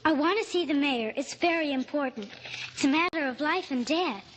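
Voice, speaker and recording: High-voiced, earnest little girl. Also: a little fast, fairly bright tone, big-room sound, rough recording. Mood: earnest, insistent. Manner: polite, insistent, simple childlike speech. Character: little girl, earnest, pleading, tearful over her lost dog. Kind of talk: old-time radio drama acting. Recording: old radio recording with faint hiss.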